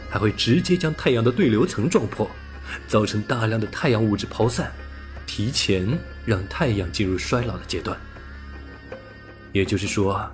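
A man reading a science fiction audiobook as narrator, in a scripted, dramatic storytelling style. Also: on the low side. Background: music.

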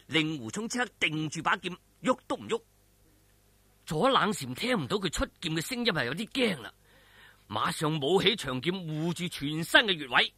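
Male storyteller, Hong Kong Cantonese, highish and lively, emphatic theatrical delivery of martial arts tales. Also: husky, no room sound. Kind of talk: dramatic wuxia audiobook narration.

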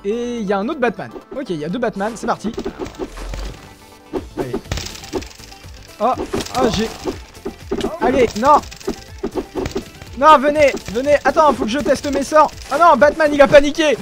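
A young man giving excited commentary over his own gameplay, exclaiming often and talking straight to his viewers, recorded on a desk mic with headphones on. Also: very high voice, a little slow, pauses often, music in the background. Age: young adult. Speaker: gaming video creator.

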